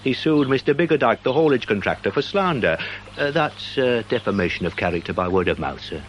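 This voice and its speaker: An actor playing a pedantic, self-important, slightly dim British police sergeant in a radio drama, with a wordy, deliberate manner. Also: male voice, medium pitch, very animated, highs cut off, a little hiss or hum, lightly acted. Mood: earnest, officious.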